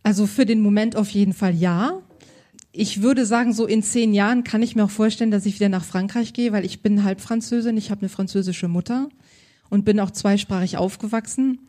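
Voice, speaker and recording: A woman's voice: mid-range voice, a little fast, dark tone. A woman working as a style consultant, answering interview questions in an enthusiastic, colloquial way.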